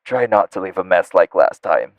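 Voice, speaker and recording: Casting: male actor as courteous, hesitant caller for audio drama, deep register, slightly muffled recording. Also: sing-song, normal speed, no room sound. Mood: awkward, courteous. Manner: hesitant, apologetic, polite. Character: polite, awkward male assistant on a business call. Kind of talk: audio roleplay acting.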